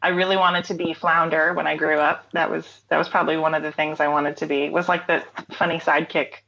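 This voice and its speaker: Low-voiced chatty woman, lo-fi call. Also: little pitch movement, a little fast, gravelly, fairly bright tone. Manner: chatty, rambling with fillers and laughs. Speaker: interview guest, singer-songwriter. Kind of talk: casual interview chat.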